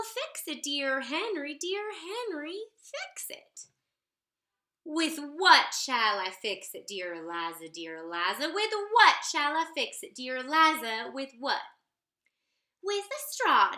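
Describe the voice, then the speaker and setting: A woman reading a children's picture book aloud in an expressive, theatrical sing-song way. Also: high-pitched. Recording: roomy, echoey sound.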